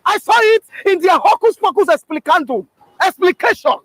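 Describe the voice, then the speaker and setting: A man's voice: extremely high pitch, expressive, normal speed, fairly bright tone, dry recording. A man declaiming bombastic political oratory, stacking long obscure words with emphatic repetition.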